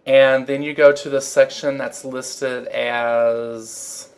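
A man's voice: medium pitch, level tone, smooth. A man co-hosting a casual podcast, talking in a giggly, campy way and drawing out his words. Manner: giggly, campy, drawn-out delivery. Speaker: podcast co-host. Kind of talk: casual banter.

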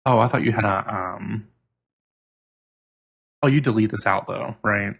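A man's voice: on the low side, a little slow, vocal fry, husky. A man co-hosting a podcast in casual, joking chat, laughing often and steering the segment. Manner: laughs often, bossy banter. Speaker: podcast co-host.

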